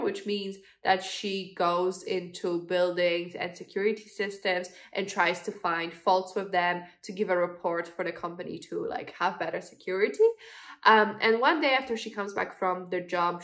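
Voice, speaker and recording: Stuffy-nosed gal with a cold, rambling casual book chat, lots of "like" and "um". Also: female voice, medium pitch, a bit bright. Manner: chatty, frequent fillers, laughs while talking. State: congested from a cold. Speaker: booktube host.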